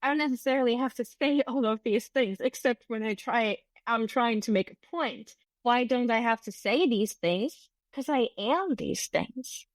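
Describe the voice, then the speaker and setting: A woman's voice: on the high side, moderate pace, few pauses, dry recording. A middle-aged woman whose first language is German, hosting an unscripted solo podcast in an earnest, reflective way, often restarting her sentences. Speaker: podcast host and business coach.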